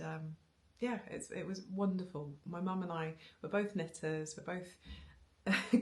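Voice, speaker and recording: Low, gravelly woman chatting. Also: a little mellow. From Wales. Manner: chatty, rambling, with frequent ums and restarts and little laughs. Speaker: knitting vlogger. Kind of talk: casual chat to camera. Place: living room with bookshelves, indoors. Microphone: camera on a tripod facing her.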